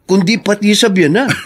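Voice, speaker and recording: A man's voice: sits high, breathy edge, exaggerated voice. An actor playing a nosy, teasing male friend in a radio drama, with a Filipino accent.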